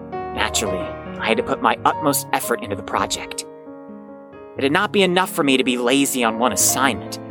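An actor playing a pompous, proud young heir in an audio drama, reciting family history grandly with dramatic pauses. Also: medium pitch, close to an everyday voice. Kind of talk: theatrical scripted monologue, audio drama. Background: music.

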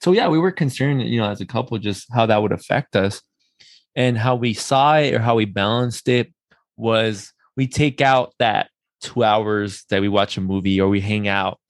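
A man's voice: fairly low pitch, normal speed, no room sound. A man hosting a podcast interview in a casual, talkative and affirming way. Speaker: podcast host.

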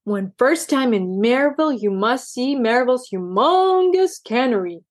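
A woman's voice: a little high, animated, moderate pace, dry recording, studio quality. A woman voicing three mischievous male poodles who call out in brash, cheery tones.

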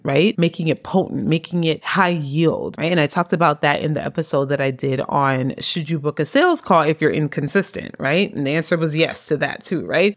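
Woman coach pitching her program on a podcast, kinda hoarse, lower voice, keeps going "right?". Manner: energetic, persuasive, keeps asking "right?". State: slightly hoarse voice. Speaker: podcast host and business coach.

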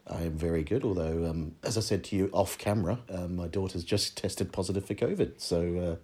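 A man's voice: low-pitched, fairly quick, darkish tone, slightly rough. A middle-aged man with a New Zealand accent, a podcast guest chatting casually with dry, wry humour. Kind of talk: casual chat.

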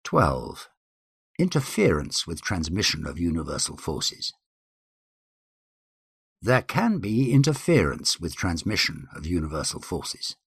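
A man giving a formal scripted reading of numbered statements in a deliberate, declamatory way with measured phrasing. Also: sits low, wildly swooping pitch, vocal fry, breathy edge, no echo, clean studio sound. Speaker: guest reader.

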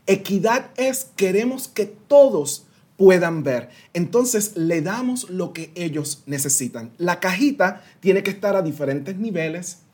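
A man's voice: sits high, expressive, measured pace, a little mellow, slightly rough. A Puerto Rican man in his thirties, a guest consultant explaining ideas animatedly with everyday analogies and some English words mixed in. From Puerto Rico. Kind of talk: interview explanation.

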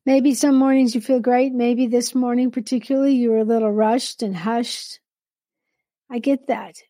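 A woman's voice: a little high, dark tone, no echo. A middle-aged woman hosting a live solo podcast, talking in a candid, rambling way with many restarts. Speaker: podcast host.

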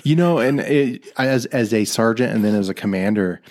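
A man's voice: medium pitch, normal speed. A man hosting an interview, a former police sergeant and commander, chatting casually and chiming in with short replies. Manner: relaxed, lots of short agreeing responses.

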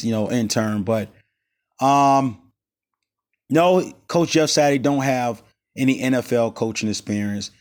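A man hosts his sports talk show with a raspy, worn voice as he gets over a stomach bug. He talks loosely and rambles, full of fillers and restarts, at an ordinary middle pitch. He is recording on a cell phone rather than in a studio. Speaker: sports podcast host. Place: not in the studio.

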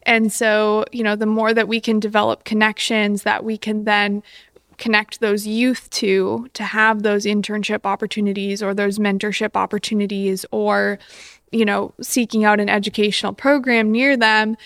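Woman, interview guest, articulate, steady narrow pitch, dry close recording. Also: moderate pace, no echo. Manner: articulate, enthusiastic, speaks in long flowing explanations. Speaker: guest, executive director. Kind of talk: conversational interview.

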